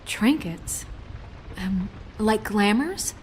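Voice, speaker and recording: A woman's voice: medium pitch, expressive, extremely slow, a little mellow, a little hiss or hum. An actress playing a businesslike young woman in a video game, clipped and polite, anxious but composed. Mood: polite, careful.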